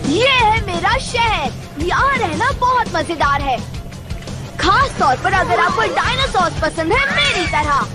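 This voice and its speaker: A woman voicing a cocky, mischievous young boy in an animated film dub, chatty, boastful and excitable, with music behind. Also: extremely high pitch, measured pace, full character voice. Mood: enthusiastic.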